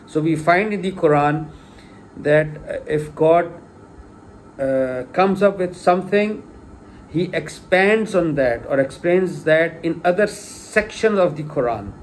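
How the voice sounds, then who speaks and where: A man's voice: fairly high pitch, animated, slow pace, slight background noise. Hesitant Urdu-speaking man lecturing. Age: middle-aged. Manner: hesitant, many fillers and restarts; emphatic on key points. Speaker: lay religious lecturer. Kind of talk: informal spoken lecture.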